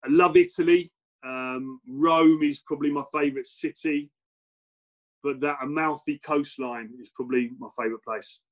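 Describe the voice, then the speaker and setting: A middle-aged English man, a former footballer and coach, answering interview questions in a relaxed, reflective way with many fillers and restarts. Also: fairly high pitch, normal speed. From England.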